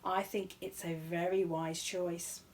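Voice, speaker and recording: Storybook housekeeper, played by the reader, a woman: older female, shrewd, assured, brisk, loyal. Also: fairly low pitch, level tone. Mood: approving.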